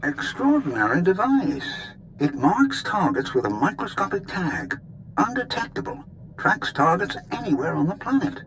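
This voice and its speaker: A nerdy precise weapons expert in a game, highish n lively, slight noise behind. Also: male voice, normal speed, heavily acted, low-quality recording. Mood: keen, impressed. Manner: precise, technical, keen. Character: precise, enthusiastic male weapons expert explaining his device. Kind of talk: video game voice acting.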